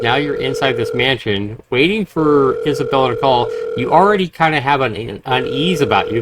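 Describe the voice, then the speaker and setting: A man livestreaming a horror game, reading dramatically and commenting casually, with loud game sound behind his voice. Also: medium pitch, heavy background noise. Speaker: game streamer. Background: horror game audio.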